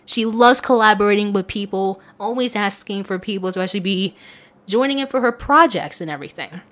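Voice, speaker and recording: A woman's voice: mid-range voice, brisk, fairly bright tone. A woman speaking casually and earnestly in a rambling, chatty way as she reads and answers questions from listeners. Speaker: audio content creator.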